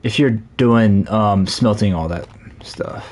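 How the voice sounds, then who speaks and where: A man live-streaming a Minecraft session, chatting casually in a scratchy, slightly hoarse voice while he plays. He rambles, corrects himself and leans on fillers. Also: fairly low pitch, slow, darkish tone. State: hoarse from talking a lot.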